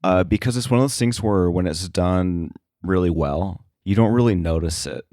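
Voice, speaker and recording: A man with a very low voice speaks casually as a guest in an interview. He explains things thoughtfully, with some hesitation. The sound is dry, with hardly any room in it. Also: normal speed, dark tone, dry recording. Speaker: podcast guest, sound effects editor.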